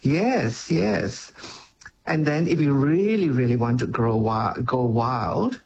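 A man's voice: mid-range voice, slow, vocal fry, a little mellow, highs cut off, a little hiss or hum. A man, a cooking educator and author, talking food on the radio with enthusiasm and frequent laughs. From Malaysia. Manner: enthusiastic, descriptive, laughs often. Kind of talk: radio interview.

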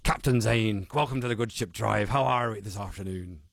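A man's voice: lowish voice, moderate pace, vocal fry, no room sound, studio quality. A man hosting an afternoon radio show, upbeat and chatty, with frequent "uh" fillers. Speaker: radio host. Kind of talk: casual radio chat. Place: radio studio. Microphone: studio microphone.

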